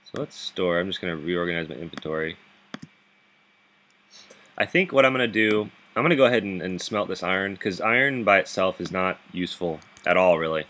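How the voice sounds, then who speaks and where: A man with a very deep voice giving rambling gameplay commentary from his bed into a laptop microphone, chuckling often and restarting his sentences. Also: fairly quick, sharp tone. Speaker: gaming video host. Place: bedroom, sitting up in bed.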